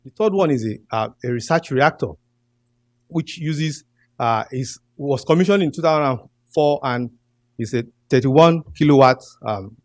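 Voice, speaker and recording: A young man with a Nigerian accent giving a conference talk from a podium, pausing often with ums. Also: medium pitch, darkish tone, no room sound. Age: young adult. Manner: hesitant, many ums and uhs. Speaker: graduate student presenter. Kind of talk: conference presentation. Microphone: podium mic.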